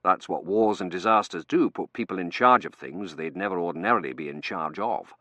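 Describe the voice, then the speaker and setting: A man voicing a brusque, commanding male academic in an audiobook, brisk, authoritative and easily exasperated. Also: deep voice, pushed and bright, no echo. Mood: dry, firm.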